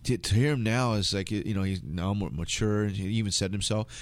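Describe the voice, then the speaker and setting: A man's voice: on the low side, fairly quick. An American man chatting casually in a rambling, slangy way. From United States. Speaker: interview guest. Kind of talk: casual conversation.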